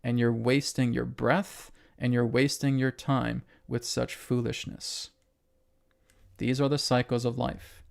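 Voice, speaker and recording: A man's voice: medium pitch, level tone, slow pace, gravelly. A man giving an emphatic spiritual lecture to an online audience, hammering points with repetition and asking "right?" after them. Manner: emphatic, repetitive, asks rhetorical questions and tags "right?". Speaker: spiritual channeler and host.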